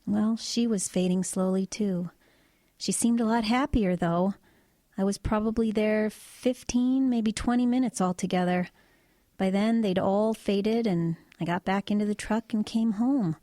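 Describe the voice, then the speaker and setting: A woman's voice: mid-range voice, unhurried, warm tone, dry recording, studio quality, lightly acted. An actress playing a matter-of-fact woman truck driver in an audio drama, recounting eerie visions in a hesitant, conversational way. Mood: quiet, wistful.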